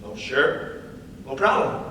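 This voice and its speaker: A man's voice: mid-range voice, big pitch swings, slow, pushed and bright, exaggerated voice. A young man, a student actor in a staged script reading, playing a cocky, flippant stand-up comedian with a casual, conversational delivery. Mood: cocky stand-up patter. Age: young adult. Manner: casual, conversational with rhetorical tag questions. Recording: echoey hall from far off, faint noise.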